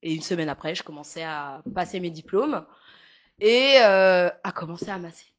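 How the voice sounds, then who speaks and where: A woman's voice: fairly low pitch, normal speed. A young woman with a Parisian French accent, a massage therapist chatting frankly in an interview, with many fillers and jokes. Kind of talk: casual interview chat.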